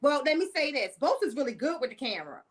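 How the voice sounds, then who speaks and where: A woman's voice: high voice, sing-song, fast. A woman with a Baltimore accent hosting a casual podcast, laughing often as she reads viewer comments aloud. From Baltimore, USA. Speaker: podcast host. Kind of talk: casual live-stream chat.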